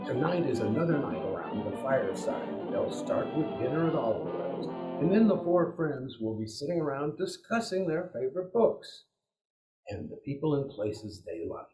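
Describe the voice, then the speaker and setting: A man's voice: medium pitch, sing-song, normal speed, dark tone, faint music behind. A man co-hosting a radio variety show with theatrical, showmanlike scripted announcing in a 1940s style. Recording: echoing room.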